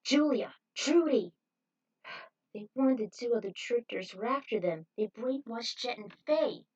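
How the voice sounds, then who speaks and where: A woman's voice: on the high side, moderate pace, a little mellow, distant mic, dull audio. A woman reading fan fiction as an amateur narrator.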